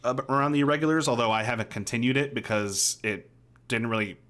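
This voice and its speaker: A man's voice: medium pitch, quick pace, fairly bright tone. Casual, rambling male podcast co-host. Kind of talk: casual chat, reviewing TV shows. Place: home room, on a video call.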